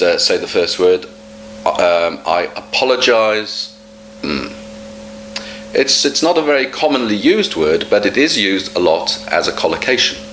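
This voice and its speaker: Slow, low-voiced male English teacher. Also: fairly bright tone, a little hiss or hum, poor audio. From Wales. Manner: hums gaps for learners to fill, unhurried. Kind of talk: casual spoken lesson.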